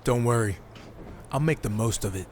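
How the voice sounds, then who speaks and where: A man's voice: medium pitch, moderate pace, darkish tone, slight background noise, lightly acted. A man playing a cocky, determined young rookie wrestler in a video game cutscene. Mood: confident. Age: young adult.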